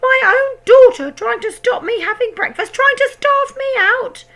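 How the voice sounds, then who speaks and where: A towering, nasty old grandmother who bellows in a shrill, piercing voice. She swoops high and wide as she barks her demands, forceful and sharp-edged, with a gravelly rasp underneath. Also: lively intonation, moderate pace, faint music behind, full character voice. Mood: screeching, furious. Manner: shrill, bossy, shouting. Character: huge, greedy, shrieking old grandmother.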